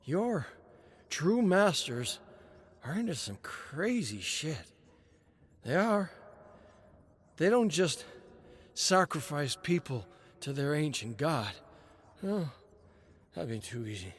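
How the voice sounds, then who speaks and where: A man's voice: fairly high pitch, big pitch swings, slow, long pauses, voice pushed up. A man voicing a battered, defiant old former secret agent in a story, speaking with labored, struggling effort. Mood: grim warning. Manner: strained, labored, struggling with words.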